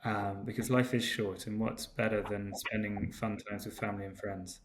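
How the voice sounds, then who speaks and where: A winemaker man from Portugal, a guest on a remote call, talking in a relaxed, hesitant way with many ums and restarts. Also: fairly low pitch, normal speed, noisy background. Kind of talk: casual interview chat. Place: home in Porto, remote call.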